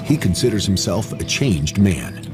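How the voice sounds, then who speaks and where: A man's voice: deep voice, sing-song, normal speed, music in the background. A man delivering scripted narration for a documentary.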